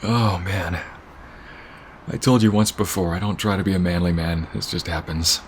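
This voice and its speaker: Man acting a very low-voiced, tender boyfriend. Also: fairly even intonation, moderate pace, slight background noise, lightly acted. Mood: overjoyed, tearful. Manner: intimate, earnest, often hushed and breathy. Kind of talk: ASMR romantic boyfriend roleplay.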